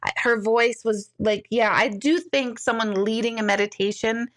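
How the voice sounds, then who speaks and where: A woman's voice: a little high, steady tone, normal speed. A middle-aged woman, an intuitive medium, chatting casually and rambling as she reads out and answers viewers' questions, laughing often. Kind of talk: casual live-stream chat.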